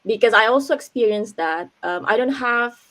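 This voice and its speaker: A young Indonesian woman talks through her student life in English with a clear Indonesian accent. She hesitates a lot, filling gaps with um and like. It sounds like a casual video call. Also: on the high side, lively intonation, moderate pace, a bit bright. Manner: hesitant, many ums and likes. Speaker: international student guest. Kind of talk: casual online interview answers.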